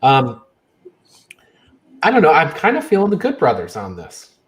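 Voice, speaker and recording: A man chatting casually as a sports podcast panelist, halting as he thinks aloud. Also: on the high side, huge pitch swings, a little hiss or hum.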